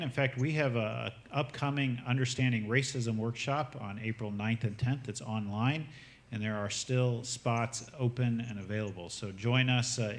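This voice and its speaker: A man hosting a church program, giving closing remarks and announcements in an upbeat, inviting way with small fillers. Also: medium pitch. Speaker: church host.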